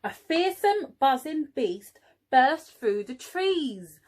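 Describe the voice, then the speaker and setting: A woman reading a picture book aloud to young children, expressively, pausing for little asides to the listeners. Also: sits high, big pitch swings. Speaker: early years teaching assistant reading a storybook.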